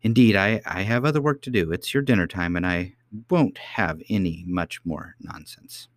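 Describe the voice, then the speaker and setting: A man's voice: low voice, normal speed, dry recording, studio quality. A man voicing a sceptical, easily vexed nursemaid in a storybook, with a scolding, dismissive manner.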